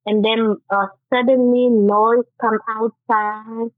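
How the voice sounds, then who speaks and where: A woman's voice: on the high side, little pitch movement, no room sound. Woman, earnest. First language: Haitian Creole. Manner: earnest, halting with restarts. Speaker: guest author. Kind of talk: interview, personal testimony. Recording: tinny phone-like line.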